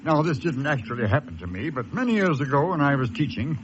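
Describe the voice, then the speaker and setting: An actor playing a reserved, weary man in a radio drama, polite and measured, quietly resolute. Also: fairly high pitch, sing-song, noisy background. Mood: reserved, reflective. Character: reserved former teacher telling a tale, weary henpecked husband. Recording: muffled audio with music behind.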